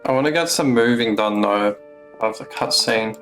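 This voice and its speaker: A man's voice: mid-range voice, normal speed, fairly bright tone, faint music behind. A man casually commenting on a game as he streams it live.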